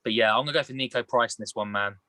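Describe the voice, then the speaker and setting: A man with a bright, forward voice of medium pitch, recorded close and dry. He rambles through casual sports opinions in a matey, colloquial way. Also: rapid-fire, no room sound. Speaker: podcast panellist.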